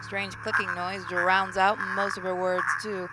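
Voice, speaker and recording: A woman's voice: on the low side, flat delivery, unhurried, heavy background noise. A woman reading scripted first-person visual novel narration in a wry, theatrical storytelling manner.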